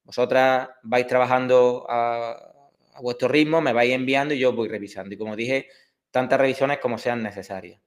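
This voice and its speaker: A man's voice: mid-range voice, fairly even intonation, moderate pace. Andalusian guy in his 30s teaching a prep class, lots of ehs and restarts. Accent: Andalusian Spanish. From Córdoba, Spain. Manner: hesitant, many fillers and restarts. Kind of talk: online course introduction.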